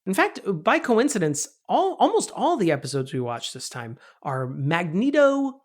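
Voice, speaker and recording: An animated podcast host chats casually about pop culture. The pitch swings around freely, and mock quotes get acted out in the middle of comic riffs. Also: moderate pace. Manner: acts out mock quotes while riffing.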